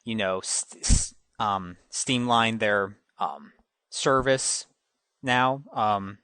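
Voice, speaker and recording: A man on a podcast panel, chatting casually in a halting manner. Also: fairly low pitch, sharp tone, smooth, no room sound. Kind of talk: casual chat.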